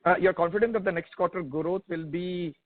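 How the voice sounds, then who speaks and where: A man with an Indian accent asking questions as an analyst on a conference call, halting and repeating his words over a phone line. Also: high voice, fairly even intonation. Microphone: telephone conference call. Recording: tinny narrowband phone line.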